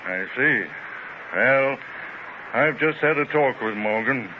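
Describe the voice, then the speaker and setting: A tinny vintage radio drama with light noise. An actor plays a careful, doubting businessman with a medium-pitched male voice, questioning in a measured, theatrical way. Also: close to an everyday voice. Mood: wary. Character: cautious, incredulous male business associate of a tycoon. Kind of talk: 1930s radio drama acting. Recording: tinny, phone-like 1930s radio transcription, faint noise.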